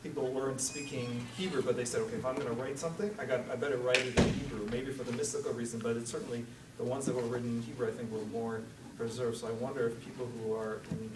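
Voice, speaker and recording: Male questioner, quick talker who acts out hypothetical quotes, heard far from the mic in a reverberant room. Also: medium pitch, flat delivery. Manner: voices imagined quotes to make his point. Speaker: audience questioner. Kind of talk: asking a spoken question at a seminar. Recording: echoey room, distant mic, noisy.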